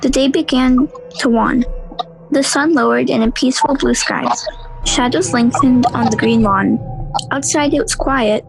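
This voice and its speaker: A woman reading scripted story narration as a calm storyteller in an amateur audio drama. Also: sits high, measured pace, vocal fry, over music, poor audio. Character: calm storyteller setting an uneasy suburban scene.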